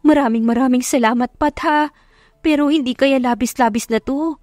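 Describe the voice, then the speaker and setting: A woman's voice: high voice, moderate pace, a little mellow, no room sound, clean studio sound, faint music behind, voice pushed up, lightly acted. A woman voicing an older female housekeeper in an audio drama, earnest, grateful and hesitant. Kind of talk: Tagalog audio drama acting.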